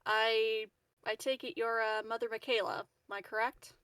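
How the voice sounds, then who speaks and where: A woman's voice: a little high, steady tone, normal speed, fairly bright tone, clear, resonant tone, no echo, distant mic, close to an everyday voice. A woman playing a polite, guarded character in a tabletop game, courteous and hesitant, making small talk with a stranger.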